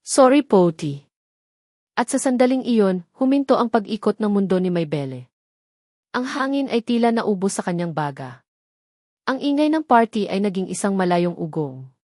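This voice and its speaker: A woman's voice: mid-range voice, very animated, moderate pace, mellow, no room sound, clean studio sound. A woman with a Filipino accent reading a scripted, dramatic story as its narrator.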